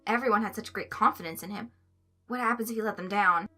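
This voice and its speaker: A woman narrating a story aloud in an expressive, scripted reading style. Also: medium pitch, fast, faint music behind. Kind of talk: expressive fan fiction reading.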